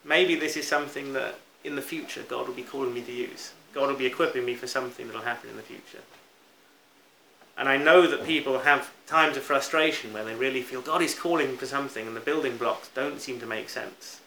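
A man's voice: mid-range voice, a little fast, gravelly, sharp tone. A British man preaching a sermon in an earnest, reflective manner, stressing key words as he goes. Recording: roomy echo, faint background noise.

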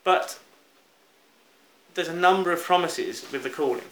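A man's voice: a little high, a little slow, fairly bright tone. A British man preaching a sermon in an earnest, reflective manner, stressing key words as he goes. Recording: roomy echo, faint background noise.

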